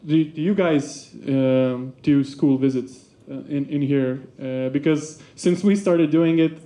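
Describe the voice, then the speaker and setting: Man, dark halting talk. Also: a little high. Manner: halting, many fillers and restarts. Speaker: basketball club representative presenting. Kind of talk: seminar presentation.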